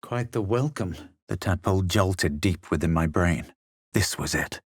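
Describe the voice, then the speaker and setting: A man playing a haughty, self-assured young adventurer in a fantasy video game, speaking in a measured, theatrical way. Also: low voice, animated, dry recording, clean studio sound. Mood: brooding, measured. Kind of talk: dramatic video game voice lines and narration.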